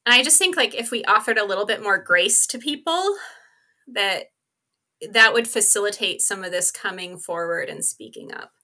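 A woman's voice: mid-range voice, lively intonation, moderate pace, bright, forceful tone. A woman speaking as a podcast guest in an earnest, informal conversational style, often dropping in "like" and "you know". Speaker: podcast co-host being interviewed as guest. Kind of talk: conversational interview talk.

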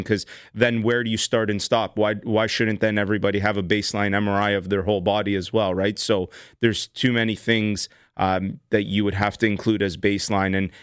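Low, crisp-voiced man in his thirties. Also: dry recording, studio quality. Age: 30s. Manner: measured, explanatory, with frequent restarts. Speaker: doctor and radio show host. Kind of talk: call-in health advice. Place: radio studio.

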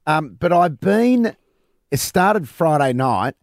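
Hoarse man on breakfast radio. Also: on the high side, very animated, slow pace, no room sound, studio quality. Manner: jokey, self-mocking storyteller. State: slightly hoarse from a cough. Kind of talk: casual radio banter. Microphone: studio microphone.

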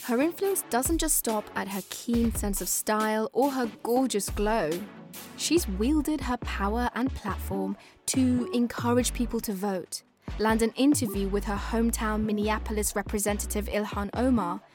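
A British woman narrating a scripted podcast as host, in an expressive, conversational way that speaks straight to listeners. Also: fairly high pitch, normal speed, faint music behind. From born in Afghanistan, moved to the UK.

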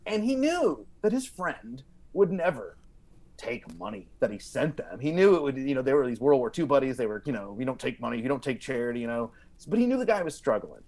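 A man telling comedic stories as a podcast guest, casual and animated, acting out quotes as he goes. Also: fairly high pitch. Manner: animated, acts out quotes with frequent like and you know.

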